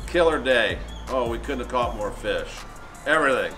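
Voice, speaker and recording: A man's voice: mid-range voice, normal speed, soft background music, low-quality recording. An older man, a charter client, chatting and joking happily about a day of fishing outside at a marina. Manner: jovial, joking, animated. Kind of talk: casual chat.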